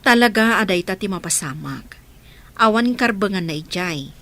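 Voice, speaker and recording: A grown daughter played by an actress in a radio drama, firm and reassuring. Her voice sits at a medium pitch. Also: expressive, moderate pace, a bit bright, no echo. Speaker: actor in a radio comedy drama. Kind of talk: Ilocano radio drama acting.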